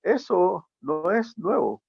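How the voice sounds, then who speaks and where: Gravelly man on a tinny line. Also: high voice, big pitch swings, takes it slowly, a little mellow, dry recording. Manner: reflective, lecturing, rhetorical questions. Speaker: guest commentator. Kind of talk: opinion talk on a show. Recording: tinny phone-like line, dry.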